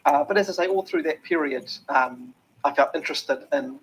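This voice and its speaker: A man's voice: a little high, normal speed, breathy edge, low-quality recording. A man with a New Zealand accent, an interview guest talking earnestly with many ums and restarts. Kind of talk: reflective interview talk.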